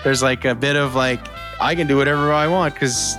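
A man co-hosting a podcast, talking in a halting way, restarting his sentences and laughing easily. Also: medium pitch, steady tone, music in the background. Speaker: podcast co-host. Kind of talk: casual chat.

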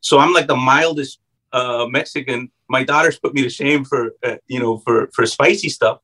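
A man from South Texas, a guest on an interview, telling animated anecdotes with lots of repeated words. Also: medium pitch, normal speed, rough recording. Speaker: guest actor. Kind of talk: conversational interview storytelling.

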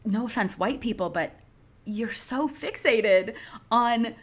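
A woman's voice: on the high side, takes it slowly, slightly rough. A young adult woman from outside Philadelphia, co-hosting a podcast and telling candid, emphatic stories in casual chat.

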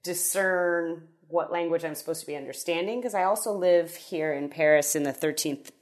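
A woman's voice: on the low side, normal speed. A woman in her thirties, a podcast guest chatting casually, rambling and correcting herself as she tells a story. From United States.

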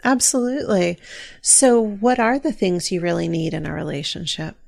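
Gentle woman interviewer asking questions. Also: fairly low pitch, fairly even intonation, creaky. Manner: gentle, measured questioning with short affirmations.

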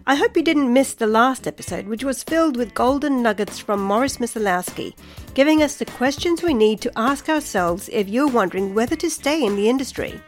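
A woman hosting a podcast, reading a scripted introduction and asking interview questions in a measured way. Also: a little high, animated, soft background music. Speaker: podcast host.

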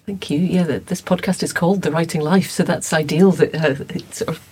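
A woman's voice: deep voice, fairly even intonation, brisk, mellow, breathy edge. A woman hosting a podcast panel, eagerly asking questions and restarting them. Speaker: podcast host, moderator. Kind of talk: moderating a panel discussion.

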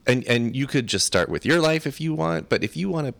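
A man's voice: on the high side, expressive, brisk, creaky, a bit bright, no room sound. An American man hosting an interview podcast in a conversational style. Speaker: podcast host.

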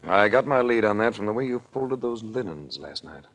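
An American actor playing a cool, wry investigator in a 1940s radio crime drama, with an unflappable, dryly sardonic manner. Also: male voice, fairly low pitch, lively intonation, a little fast. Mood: coolly accusing. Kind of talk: 1940s radio detective acting. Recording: vintage 1940s radio recording.

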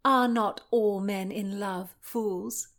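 A woman voicing a modest but witty young gentlewoman in an audiobook, with guarded, old-fashioned polite speech. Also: mid-range voice, steady tone, slow, pitched above the performer's own voice. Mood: quipping. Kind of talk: audiobook character acting.

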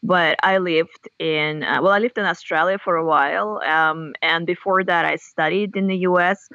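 A woman's voice: on the low side, moderate pace, no echo, dull audio. A woman in her thirties from Russia with a Russian accent, telling stories animatedly in an interview and laughing often. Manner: animated storyteller, laughs often, restarts phrases. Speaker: guest author. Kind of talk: casual interview chat.

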